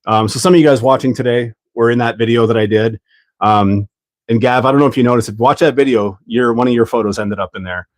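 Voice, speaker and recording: A man from Canada hosting a hobby livestream, talking in a rambling, relaxed way full of ums while stuffed up with a cold. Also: mid-range voice, fast, no echo. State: congested from a cold. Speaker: livestream host. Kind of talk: casual livestream chat while building.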